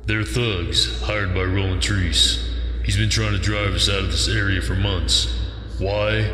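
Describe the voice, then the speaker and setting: Brief: commanding underground leader played by a man, low voice, firm, comic-book drama, long reverb. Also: steady tone, unhurried, fairly bright tone. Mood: wary. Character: firm, authoritative underground community elder. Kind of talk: comic-book motion comic acting. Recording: echoey hall under music.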